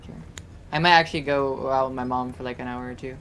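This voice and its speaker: A man on a voice call with friends, talking quickly and offhandedly. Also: mid-range voice, fairly even intonation, a bit bright, a little hiss or hum.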